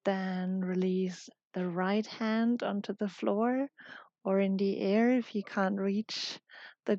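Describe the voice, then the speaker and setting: Slow, even-voiced woman teaching yoga. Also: medium pitch, dry recording, lo-fi sound. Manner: calm, soothing, gentle, with long pauses. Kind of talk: guided yoga class instruction.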